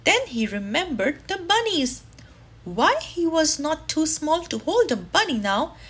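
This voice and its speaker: A woman reading a children's story aloud, deliberately and expressively, with drawn-out emphasis. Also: sits high, sing-song, fairly bright tone.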